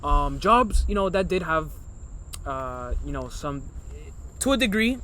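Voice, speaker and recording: Rambling young man, higher-pitched. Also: sing-song, unhurried, bright, forceful tone, a little hiss or hum, low-quality recording. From Miami. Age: early 20s. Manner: loose, rambling, addresses viewers as guys. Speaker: podcast co-host. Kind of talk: casual podcast chat.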